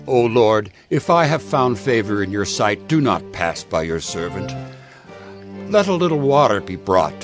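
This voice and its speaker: A man playing a reverent, eager old patriarch in a dramatized scripture reading, earnest and pleading. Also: on the low side.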